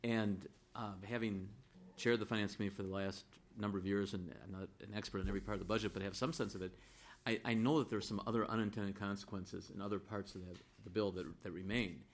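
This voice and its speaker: A hoarse middle-aged man, a state senator who once chaired the finance committee, speaking deliberately in a legislative floor debate. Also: fairly low pitch, steady tone. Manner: deliberate, supplementing a colleague's point.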